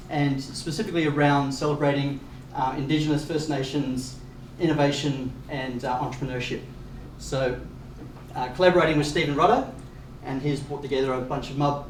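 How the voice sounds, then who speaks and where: A man's voice: fairly high pitch, recorded from a distance. Aussie guy hosting a meetup, lots of ums, echoey room, faint hiss. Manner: upbeat, many fillers, quick asides with chuckles. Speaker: meetup organiser and host. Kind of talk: event welcome and announcements. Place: event space.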